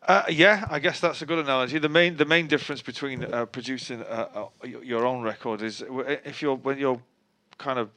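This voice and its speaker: This is a middle-aged man with a Manchester accent, chatting as a guest in a dry, wry manner. He often stops and restarts mid-sentence, and his voice sits at an ordinary, middling pitch. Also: brisk, sharp tone. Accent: Mancunian. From Manchester, England. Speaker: guest musician. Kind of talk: casual interview chat.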